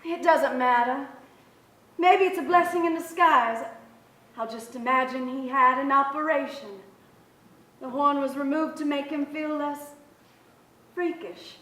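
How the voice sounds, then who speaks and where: A woman playing a gentle, shy, fragile young woman with a wistful delivery in a staged reading. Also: high-pitched, steady tone, measured pace, pauses often. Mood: wistful acceptance. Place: stage of a large theater. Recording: echoey theater, picked up from far off.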